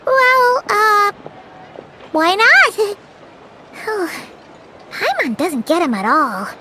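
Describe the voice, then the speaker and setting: A woman voicing a tiny, curious, excitable fairy companion who talks about herself in the third person, in a game cutscene. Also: very high voice, extremely slow, background noise, exaggerated voice. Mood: chirpy.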